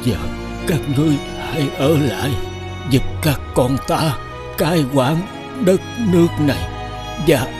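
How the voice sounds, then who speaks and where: Dying old fairy-tale king, slow and halting, resigned, music underneath. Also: a little high, lively intonation. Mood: weak, resigned. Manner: halting last words. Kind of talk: animated fairy tale acting. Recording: music behind the voice.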